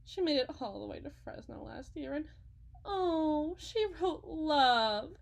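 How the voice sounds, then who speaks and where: Woman playing a female prophet and healer, very high-pitched, slow, sweetly coaxing, fervent, theatrical, unsettling, roleplay monologue. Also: animated, creaky, exaggerated voice. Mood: doting, coaxing. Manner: theatrical, pleading, sing-song preaching with drawn-out words and sudden hushes. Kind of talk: dramatic ASMR roleplay monologue.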